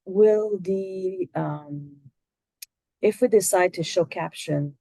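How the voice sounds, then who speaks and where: A woman with a French Canadian accent, a native French speaker, asking questions on a video call in a hesitant, halting way. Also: on the low side, vocal fry, dry recording. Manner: hesitant, halting, soft-spoken. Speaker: first-time presenter asking questions.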